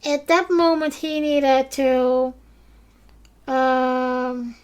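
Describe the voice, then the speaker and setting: Woman, high voice, hesitant, lots of fillers, podcast recap host. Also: level tone, extremely slow, clear, resonant tone. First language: Dutch. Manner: hesitant, many fillers and restarts; simple non-native phrasing. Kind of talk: casual episode recap.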